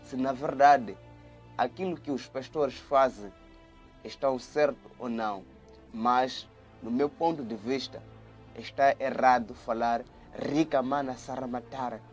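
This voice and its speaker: A man's voice: medium pitch, measured pace, faint music behind. A man giving a video Bible study, reading scripture aloud and explaining it in an earnest way, often repeating words and restarting. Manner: earnest, repeats words and restarts, grows lively when retelling. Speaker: Bible teacher.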